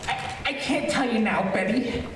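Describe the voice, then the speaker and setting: A woman's voice: fairly high pitch, moderate pace, lightly acted. A woman playing an awkward, rambling teenage boy in old-time radio comedy style. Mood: worried, rambling. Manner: rambling, gee-whiz slang. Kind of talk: 1940s radio comedy acting. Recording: very echoey, distant, clear noise.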